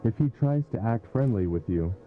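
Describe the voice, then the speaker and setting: Low flat guy reading safety warnings, tinny lo-fi audio, some music under it. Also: a little fast. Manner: flat instructional delivery. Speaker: warning broadcast narrator. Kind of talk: scripted narration. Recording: tinny phone-like broadcast audio.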